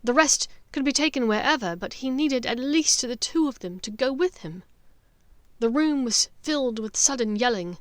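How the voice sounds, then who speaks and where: A woman narrating a fiction audiobook with dramatic, deliberate storytelling. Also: high voice, animated, no echo.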